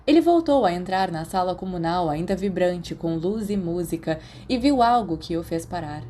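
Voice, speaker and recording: A woman's voice: on the low side, animated, moderate pace, mellow, a little hiss or hum. A Brazilian woman speaking as the narrator of a fan fiction podcast. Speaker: podfic narrator. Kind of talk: expressive audiobook narration and casual chat to listeners.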